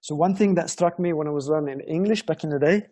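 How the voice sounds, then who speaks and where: Italian-accented man with a mellow voice. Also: on the high side, quick pace. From Italy. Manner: earnest, explanatory, asks viewers questions. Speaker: guitar teacher. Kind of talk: online guitar lesson talk.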